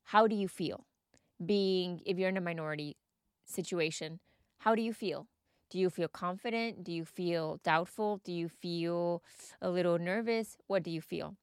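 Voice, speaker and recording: A woman podcast host speaks in a lowish voice. She coaches the listener with gentle, searching questions. The sound is close and dry, with no room around it. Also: moderate pace, clear, resonant tone, dry recording. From United States. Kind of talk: solo podcast monologue.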